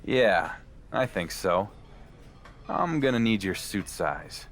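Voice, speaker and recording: An actor playing a defiant, sardonic wrestling champion in a video game cutscene, with a matter-of-fact delivery. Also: male voice, fairly low pitch, expressive, slow, slight background noise. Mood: determined.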